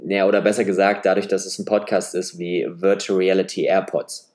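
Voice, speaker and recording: A man's voice: sits low, completely flat delivery, a little fast, a little mellow. A man in his twenties with a nasal voice and a slight Saxon German accent, hosting a solo podcast in a chatty, self-deprecating way. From Leipzig, eastern Germany. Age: 20s. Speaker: podcast host. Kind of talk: semi-scripted solo podcast monologue. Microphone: podcast microphone.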